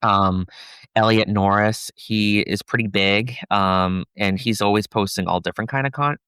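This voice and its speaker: A soft-spoken young man talking casually as a guest, his low voice smooth and clear. He keeps a fairly even tone, dotting his speech with "like" and "you know." The sound is close and dry. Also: normal speed, no room sound. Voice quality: soft, somewhat feminine voice. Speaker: podcast guest, content creator. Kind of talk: casual conversational interview.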